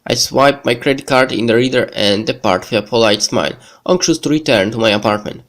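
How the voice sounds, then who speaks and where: A man who is a bit sick hosts a let's play, reading a visual novel script aloud in a halting, stumbling way. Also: mid-range voice, a bit bright. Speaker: let's play video host. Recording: music behind the voice. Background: background game music.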